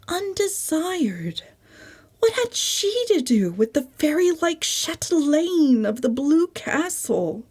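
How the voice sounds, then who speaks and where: A woman narrating a literary story as a dramatic reading, with expressive, deliberate, theatrical phrasing. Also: medium pitch, creaky. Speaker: audiobook narrator.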